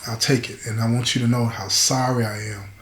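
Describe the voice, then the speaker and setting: A man's voice: medium pitch, steady tone, fairly quick, a bit bright, lightly acted. Echoey, remorseful prisoner pleading tenderly, voiced by a man. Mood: tender, sorry. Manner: emotional, pleading, repeats phrases. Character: remorseful imprisoned man, tender and humbly grateful. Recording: echoey room.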